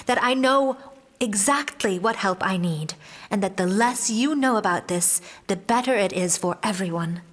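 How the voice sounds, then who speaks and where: A woman playing an anxious, guarded young noblewoman in a fantasy audio drama, speaking in measured, formal, earnest phrases. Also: mid-range voice, natural voice. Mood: earnest, insistent.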